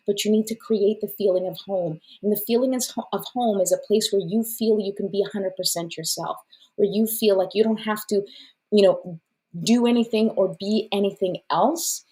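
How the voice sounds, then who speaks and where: A woman's voice: medium pitch, poor audio. Chatty woman host reading oracle cards. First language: Portuguese. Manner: warm, effusive, chatty with fillers and laughs. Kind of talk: casual live-stream chat.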